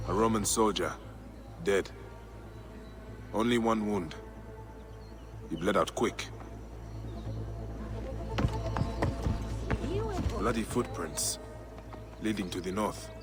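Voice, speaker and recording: An actor playing a weary, honourable Egyptian warrior in a video game, speaking in a solemn, deliberate way. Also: male voice, on the low side, music in the background. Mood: focused, musing. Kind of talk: dramatic video game acting.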